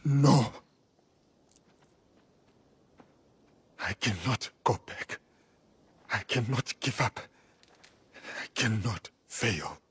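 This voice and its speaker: An actor playing an earnest, determined foreign warrior in a video game, speaking tersely. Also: male voice, medium pitch, slow, a bit bright, slightly rough, heavily acted. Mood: desperate. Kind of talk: dramatic video game acting.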